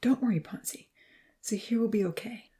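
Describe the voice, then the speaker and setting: A woman voicing a gentle young man who speaks slowly and softly to reassure his friends. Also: fairly high pitch, pitched above the performer's own voice, natural voice. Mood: soothing. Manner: slow, soft and reassuring.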